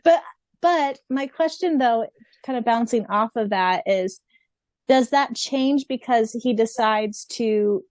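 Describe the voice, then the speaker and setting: A woman's voice: on the high side, slow pace, a little mellow, dry recording. A woman co-hosting a podcast, chatting casually and musing as she talks. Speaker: podcast co-host. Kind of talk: casual chat.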